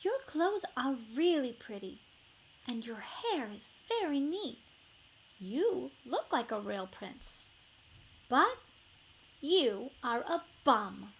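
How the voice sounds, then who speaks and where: A woman's voice: high-pitched, very animated, slow, creaky, long pauses, a bit bright, slight background noise, heavily acted, low-quality recording. A woman reading a children's storybook, playing a bold, clever young princess with emphatic delivery. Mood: cool, scornful. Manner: emphatic, calling out. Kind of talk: children's storybook read-aloud.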